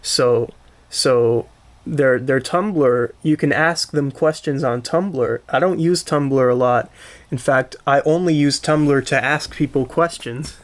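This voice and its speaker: A man hosts a relaxed podcast chat at a slow, deliberate pace. His voice sits at a medium pitch, and he often repeats and rephrases as he works toward his point. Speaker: podcast host. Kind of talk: casual podcast conversation.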